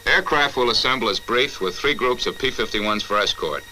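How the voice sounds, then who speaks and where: A man's voice: mid-range voice, moderate pace, sharp tone, soft background music, rough recording. A man formally reading out military orders in a terse, procedural way, on a vintage 1940s film soundtrack. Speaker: military briefing narrator.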